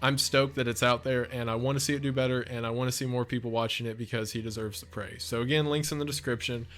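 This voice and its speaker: Enthusiastic chatty man, medium pitch. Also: fairly even intonation, fast talker, fairly bright tone. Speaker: video essay creator and narrator. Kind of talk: casual off-the-cuff video commentary.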